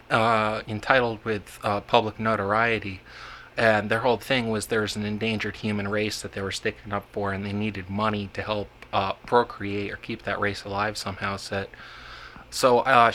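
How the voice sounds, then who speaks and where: Male vlogger, low-set voice with a sharp edge, wanders and stumbles through long halting asides. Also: a little hiss or hum. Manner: meandering, halting, many restarts and repeated words. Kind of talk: rambling monologue to camera.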